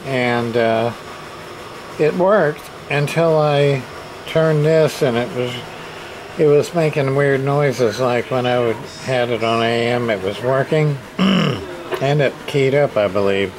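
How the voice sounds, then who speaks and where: A man giving a casual hands-on repair demonstration, thinking aloud in a hesitant, halting way as he goes. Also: mid-range voice. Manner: hesitant, halting, muttering to himself. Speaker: hobbyist radio repair presenter. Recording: clear background room noise.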